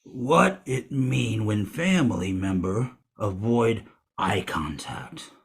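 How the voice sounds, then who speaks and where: A man playing a slow-witted, food-snob zombie in a comic audio play, speaking broken, halting sentences in a gravelly voice. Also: fairly low pitch, lively intonation, heavily acted. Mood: deadpan, hungry. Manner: broken, halting caveman-like speech. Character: slow-witted male zombie with snobbish taste in brains.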